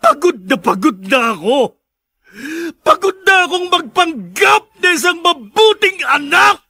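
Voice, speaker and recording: An actress playing an anguished, worn-out grown daughter in a radio drama, pleading and reproachful. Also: female voice, very high-pitched, big pitch swings, a little slow, pushed and bright, no echo, clean studio sound, full character voice. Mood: crying outburst.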